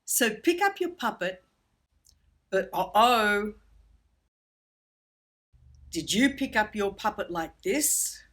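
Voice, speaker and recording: Expressive woman teaching, lively swooping pitch. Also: slow pace, pauses often. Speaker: puppetry workshop instructor. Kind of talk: instructional presentation for children.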